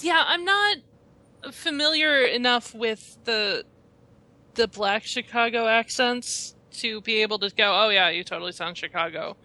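A woman's voice: fairly high pitch, animated, a little slow, pushed and bright. A woman co-hosting a casual podcast chat, mostly adding brief agreeing remarks.

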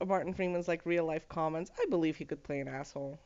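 A woman's voice: low-pitched, quick pace, darkish tone. A woman chatting casually as a podcast co-host, wry and laughing. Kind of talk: casual chat. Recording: dull lo-fi sound.

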